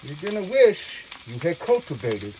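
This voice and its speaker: Female vlogger, low register, reflective and earnest, salty slang, sizzling noise behind the voice. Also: sing-song, unhurried, a little mellow, rough recording. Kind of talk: casual philosophical monologue to viewers.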